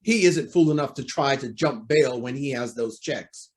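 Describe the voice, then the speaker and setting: A man's voice: mid-range voice, moderate pace, natural voice. A man playing a proud, indignant judge under pressure in an old-time radio drama, speaking formally and emphatically. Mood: indignant, agitated.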